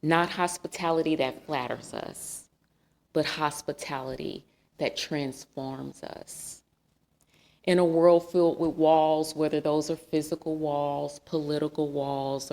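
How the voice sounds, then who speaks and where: A woman's voice: deep voice, vocal fry, a little mellow. A woman giving an earnest, reflective sermon in a church, asking the congregation questions. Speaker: guest speaker. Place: church sanctuary.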